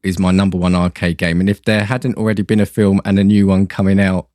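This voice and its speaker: A man's voice: deep voice, flat delivery, fast, darkish tone, no room sound, clean studio sound. A man chatting casually as a podcast guest, hesitant and rambling, often repeating words as he goes. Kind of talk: casual chat about films and games.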